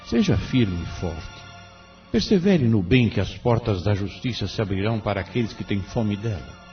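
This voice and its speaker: A man's voice: lowish voice, animated, normal speed, natural voice. Man as a solemn Brazilian spirit guide, widely swooping. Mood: solemn, consoling. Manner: solemn, exhorting, oratorical. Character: benevolent fatherly male guardian spirit, solemn and consoling. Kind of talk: audio drama monologue. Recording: dull lo-fi audio with music behind.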